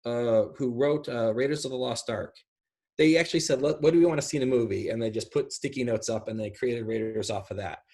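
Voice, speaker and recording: A man's voice: mid-range voice, brisk, warm tone. A middle-aged man on a video call, explaining his ideas in a casual, rambling way. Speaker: guest speaker. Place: indoor room at home, on a video call. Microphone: video call microphone.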